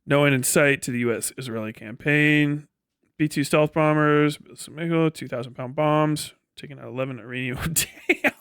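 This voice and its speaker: A man's voice: a little high, moderate pace, a bit bright, clean tone, dry recording, clean studio sound. A man giving off-the-cuff political commentary as a live streamer, talking in a blunt, profane, sardonic and dismissive way.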